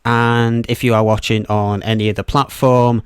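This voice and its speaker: A man's voice: medium pitch, level tone, normal speed, clean tone, no room sound, clean studio sound. A man co-hosting a podcast, speaking casually as he addresses the listeners. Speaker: podcast co-host.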